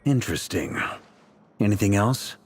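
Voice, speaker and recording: A man's voice: fairly low pitch, very animated, unhurried, faint music behind. A man voicing a laid-back, wry and confident commander in a video game. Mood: musing. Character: easygoing seasoned male commander, wry and confident. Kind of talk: game dialogue acting.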